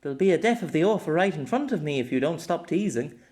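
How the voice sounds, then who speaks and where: A man puts on a young woman for an audiobook story. She is curious and earnest, lifted high in pitch with lively swings. She teases and stammers when flustered. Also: fast, lightly acted. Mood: mock annoyed.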